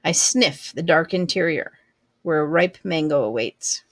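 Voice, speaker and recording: Slow, measured woman reading a storybook. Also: sits low, animated, dry recording. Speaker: storybook reader. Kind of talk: expressive children's book read-aloud.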